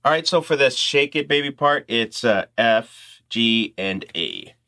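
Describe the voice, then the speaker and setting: A man's voice: mid-range voice, animated, a little slow, creaky, sharp tone. A man hosting an informal music tutorial, explaining things step by step in instructional talk.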